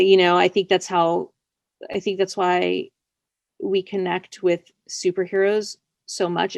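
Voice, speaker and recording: A woman with a fairly low, gravelly-edged voice talks as a writer in a casual interview. She speaks informally and loosely, circling around her thoughts. The sound is dry, with very little room in it. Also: darkish tone, dry recording. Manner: informal and rambling, often says "like" and "you know". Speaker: interviewed scriptwriter.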